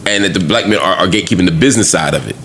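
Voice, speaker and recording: A man's voice: low-pitched, rapid-fire, a bit bright, a little hiss or hum. An American man in a casual podcast discussion, weighing both sides in a conciliatory manner. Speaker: podcast panelist.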